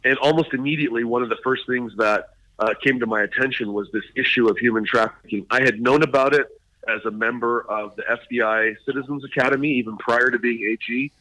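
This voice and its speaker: A middle-aged man from Hawaii and Southern California, a state attorney general speaking as a radio interview guest, halting with many fillers and restarts. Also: mid-range voice, fairly even intonation, pushed and bright, no room sound, poor audio.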